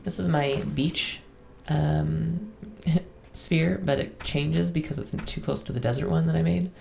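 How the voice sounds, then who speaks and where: A woman with a raspy voice, getting over a cold, casually touring her game world in a relaxed, chatty voice-over with soft ums. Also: sits low, unhurried, slight background noise. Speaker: gaming channel host.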